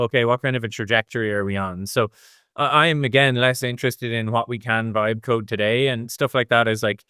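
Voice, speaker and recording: A middle-aged man with a slightly low, smooth voice talks casually as an interview guest. He thinks aloud, circling through long explanations with hedges along the way. The sound is dry and clean. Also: a bit bright, dry recording. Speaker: interview guest, design executive.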